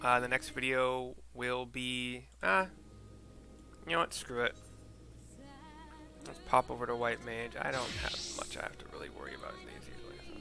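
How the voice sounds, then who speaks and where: Male gaming narrator: casual, offhand, some fry in the voice, medium pitch, music behind. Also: wildly swooping pitch, moderate pace, long pauses, bright, forceful tone. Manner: offhand, muttering asides, mild swearing. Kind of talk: casual playthrough narration with scene description.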